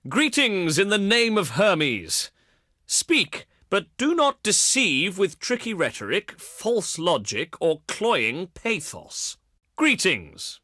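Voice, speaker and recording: A man's voice: fairly high pitch, bright, forceful tone, no echo, clean studio sound, full character voice. A male actor playing a haughty, eloquent ancient Greek diplomat in a video game, speaking in pompous, declamatory oratory. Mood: impatient, condescending. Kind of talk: theatrical scripted game voice lines.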